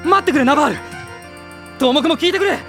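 A man's voice: very high voice, quick pace. A male actor playing a noble young prince in a fantasy audio drama, earnest and resolute, speaking formally and with passion. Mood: pleading. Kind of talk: Japanese fantasy radio drama acting. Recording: dramatic music behind the voice.

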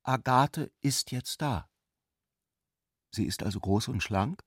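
An actor playing a serious, doubting narrator and lover in a literary radio drama, speaking in a measured, deliberate way. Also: male voice, medium pitch, slightly rough, no room sound, clean studio sound. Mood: measured, wondering.

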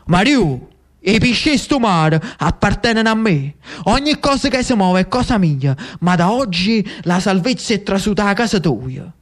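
A man playing a grave, lordly fisherman in solo theatre, making slow, solemn pronouncements with a Neapolitan accent. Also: high voice, expressive. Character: grave, commanding fisherman who is secretly a king.

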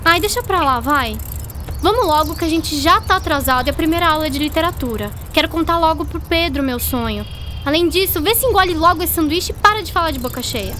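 A woman's voice: high-pitched, expressive, quick pace, pushed and bright, slight background noise. A woman playing an imaginative, spirited schoolgirl, impatient and dreamy, in a radio drama. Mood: bossy, excited. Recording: music and busy background chatter behind.

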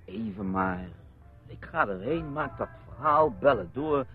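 An actor playing a guarded, evasive journalist and defensive husband in a radio play. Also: male voice, medium pitch, lively intonation, unhurried, soft background music, lightly acted. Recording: muffled, phone-like lo-fi radio play.

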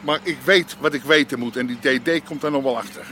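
An actor playing a boastful, middle-aged old-style underworld boss in a radio drama. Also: male voice, mid-range voice, brisk, sharp tone, a little hiss or hum, lightly acted. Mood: cocky, self-satisfied. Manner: swaggering, groping for words. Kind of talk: naturalistic radio drama dialogue.

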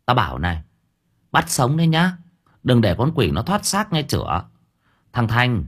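A man's voice: medium pitch, huge pitch swings, normal speed, husky. A man voicing a bossy, foul-mouthed young woman exorcist who scolds shrilly. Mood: scolding.

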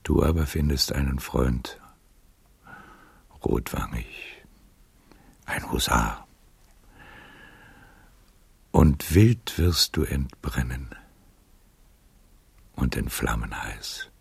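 An actor playing a weary, resigned man over seventy in a literary radio play, speaking haltingly and poetically with a worn voice. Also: lively intonation, no room sound, exaggerated voice. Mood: resigned, melancholy. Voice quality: very deep, gravelly, worn voice. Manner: slow, halting, poetic delivery.

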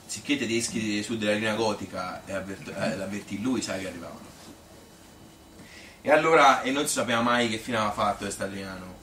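A man playing a chatty old Tuscan grandmother who tells her life story in a colloquial, rambling, halting stage monologue. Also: on the low side, sharp tone. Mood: rambling, offhand. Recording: roomy, noisy, distant stage recording.

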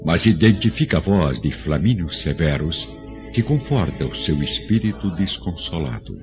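Low-voiced man narrating a radio play, serious, loud music under, muffled sound. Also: lively intonation. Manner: grave, measured storytelling. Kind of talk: scripted radio drama narration. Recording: dull lo-fi audio with strong music.

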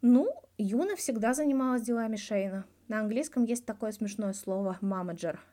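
Woman reading as a mellow, blunt male hockey star. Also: on the high side, normal speed, natural voice. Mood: casual. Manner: frank, colloquial, swearing. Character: blunt, reflective male Russian hockey star giving an interview. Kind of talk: audiobook dialogue as interview answers.

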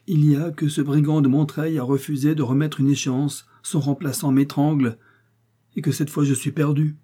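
A man's voice: a little high, flat delivery, normal speed, mellow, slightly rough, pitched above the performer's own voice. A man playing a desperate middle-aged husband, ruined and guilty, speaking in agitated despair.

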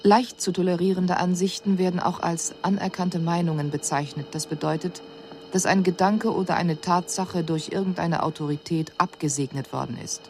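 An actress playing an extraterrestrial observer in a radio drama, reporting on human customs in a clinical, measured, matter-of-fact way. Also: female voice, fairly low pitch, steady tone, darkish tone, a little hiss or hum, lightly acted. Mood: detached, analytical.

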